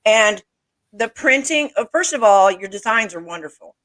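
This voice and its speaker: A woman's voice: mid-range voice, expressive, normal speed, fairly bright tone. A woman hosting a casual interview, chatty and enthusiastic, often repeating and restarting her points. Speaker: interview host. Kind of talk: casual video call interview.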